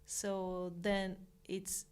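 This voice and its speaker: A young woman from Armenia speaking English with an Armenian accent, a guest chatting casually in an interview, halting often with fillers and restarts. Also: medium pitch, completely flat delivery, clean tone.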